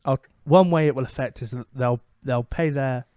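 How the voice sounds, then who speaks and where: A man chatting on a podcast, working through his opinions slowly and cautiously. He often circles back to restart a thought. His voice is at a medium pitch, caught on a close, dry mic. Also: dark tone, clean tone, no echo. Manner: hesitant, measured, restarts sentences. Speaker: podcast co-host. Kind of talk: casual comedic podcast chat.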